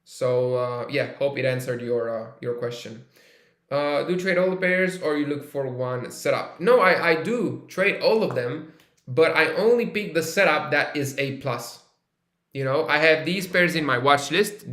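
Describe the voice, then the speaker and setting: A man's voice: on the high side, bright, forceful tone, reverberant. A man from Romania hosting a live trading stream, chatting casually with viewers and thinking aloud with fillers and restarts. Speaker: live-stream trader host.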